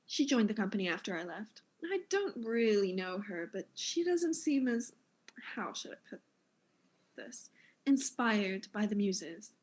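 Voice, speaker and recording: Actress as conceited fashion designer, slightly high voice, catty, theatrical, mystery drama. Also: female voice. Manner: self-important, catty, theatrical. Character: vain, jealous space fashion designer, disdainful ex-colleague. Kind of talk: acted mystery audio drama.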